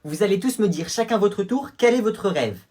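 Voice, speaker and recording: Man putting on a prim schoolteacher lady, sing-song, raised pitch. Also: animated, normal speed, a little mellow. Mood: questioning. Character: adult woman schoolteacher, prim.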